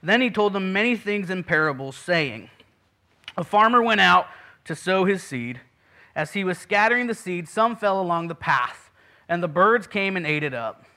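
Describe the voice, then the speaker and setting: A man's voice: high-pitched, lively intonation, normal speed, sharp tone. A man in his thirties who grew up in Puerto Rico, preaching a sermon with earnest, self-deprecating asides and frequent restarts. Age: 30s. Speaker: pastor.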